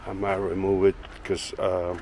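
A deep-voiced man ambles through his backyard, chatting loosely about his plants with plenty of "uh" and "like." He talks slowly into the camera he is holding. There is a faint hiss of outdoor ambience behind him. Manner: rambling, filler-heavy, relaxed. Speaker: woodworking channel owner. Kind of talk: casual walking tour vlog. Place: backyard garden outdoors, then indoor workshop. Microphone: handheld camera held by the speaker.

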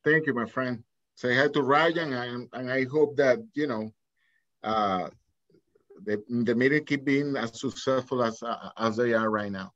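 A man with a Venezuelan accent talks haltingly as a webinar guest, stumbling over restarts. The call audio is dry and slightly dull. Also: mid-range voice, a bit bright, no echo. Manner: hesitant, many restarts and fillers. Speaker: software company guest. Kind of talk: casual webinar talk.

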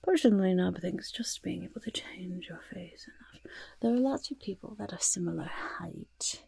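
A young woman voicing a fussy, sly, reassuring goblin in a soft-spoken ASMR roleplay, breathy and whispery, repeating soothing little phrases close to the microphone. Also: fairly low pitch, moderate pace, no room sound, full character voice. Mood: attentive, reassuring. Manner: speaks of self in third person, repetitive soothing patter.

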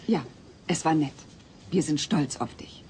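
A woman's voice: deep voice, a little slow, warm tone, slight background noise. An actress playing a strict, sensible mother in a German comedy radio play, dry and sarcastic. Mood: kind, dry.

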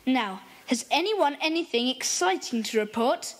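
Brisk bossy boy club leader. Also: sits high, lively intonation. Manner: brisk, commanding, eager. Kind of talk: children's audio drama acting.

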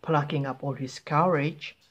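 A woman reading a children's picture book aloud in an expressive, deliberate way. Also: sits low, lo-fi sound. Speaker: children's story reader.

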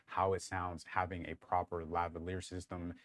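A young man with a deep voice giving a casual, rambling tech review on a lapel mic in a small room, with lots of fillers. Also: level tone, normal speed, a bit bright, no echo, clean studio sound. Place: small room lit with colored lights.

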